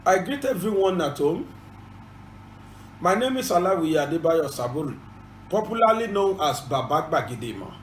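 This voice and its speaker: A Yoruba-accented man hosting an informative channel speaks in a notably high voice, earnest and formal, repeating each point carefully. His voice rings in a roomy space with a faint hum of ambience behind him. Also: big pitch swings, moderate pace. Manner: earnest, repetitive, formal instructions. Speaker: channel host and presenter. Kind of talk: informative bilingual presentation.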